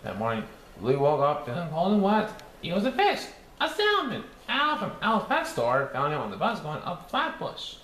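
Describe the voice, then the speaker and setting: A man voicing a cheerful cartoon sea sponge who reads a storybook aloud in a halting sing-song. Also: on the high side, huge pitch swings, fairly bright tone. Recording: echoey room with faint background noise.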